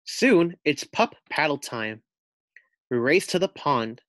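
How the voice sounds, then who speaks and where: A man reading a children's picture book aloud as a teacher, expressively, pausing to put questions to his young listeners. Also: on the high side, lively intonation, a bit bright, no room sound.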